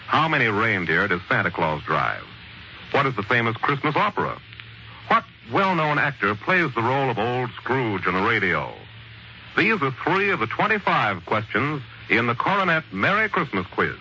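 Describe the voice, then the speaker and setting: A man narrating as a 1940s radio storyteller, in a dramatic, measured, scripted style. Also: mid-range voice, pushed and bright, slight background noise. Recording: muffled 1940s radio recording. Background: prominent music.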